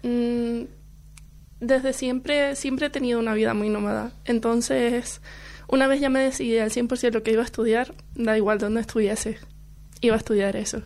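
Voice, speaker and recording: Young woman radio guest from Chile, relaxed chat, vocal fry. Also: fairly high pitch, normal speed. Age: young adult. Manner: chatty, laughs often, fillers. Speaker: guest student. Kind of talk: casual radio interview. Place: radio studio. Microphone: studio headphones and mic.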